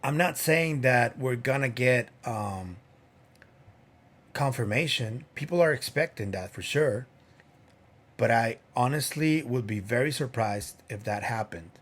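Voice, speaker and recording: Unhurried male podcast host. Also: medium pitch, lively intonation, fairly bright tone. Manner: unhurried, many fillers. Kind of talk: casual solo commentary.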